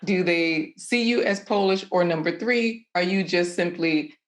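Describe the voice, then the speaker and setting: A woman panelist speaking directly and lively on a video call. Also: fairly low pitch, unhurried.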